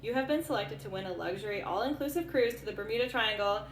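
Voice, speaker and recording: A woman's voice: medium pitch, fast, lightly acted. A woman playing a chirpy robocall scammer, delivering congratulatory sales patter like an automated prize announcement. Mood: congratulatory, salesy. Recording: echoey, very noisy phone call playback.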